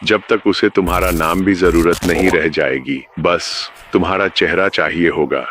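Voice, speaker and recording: A man's voice: low voice, normal speed, a bit bright, slight background noise. A man narrating a scripted horror story, speaking ominously and addressing the listener directly.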